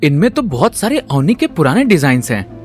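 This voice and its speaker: An actor playing a young man, a meek son with quiet indignation, in an audio drama. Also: on the high side, sing-song, fairly quick, soft background music. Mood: shocked, indignant.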